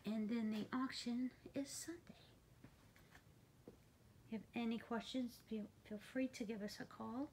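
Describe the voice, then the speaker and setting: A woman's voice: a little high, fairly even intonation, a little slow, pauses often, a little mellow, a little hiss or hum. A woman presenting for an auction house, narrating an informal, unscripted walk-through video on a handheld phone inside a large warehouse. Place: large furniture warehouse, indoors. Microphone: handheld phone camera, speaker behind it.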